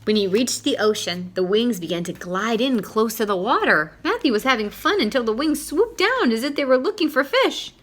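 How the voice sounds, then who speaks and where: A woman's voice: fairly high pitch, lively intonation, normal speed, few pauses, fairly bright tone. A woman reading a children's picture book aloud expressively, stopping to put questions to young listeners.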